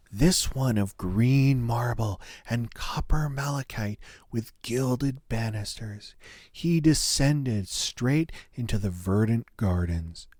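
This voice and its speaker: A man reading a fairy tale as storyteller, in a dramatic, lyrical and expressive style. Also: mid-range voice, no echo, clean studio sound. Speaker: storyteller, narrator. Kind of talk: scripted fairy-tale narration.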